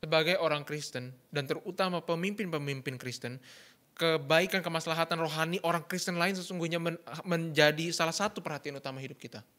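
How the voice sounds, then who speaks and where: Guy interpreting a sermon live, rattles it off fast, bright voice, lots of uh and restarts. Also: on the high side. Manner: quick, with fillers and self-corrections. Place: church.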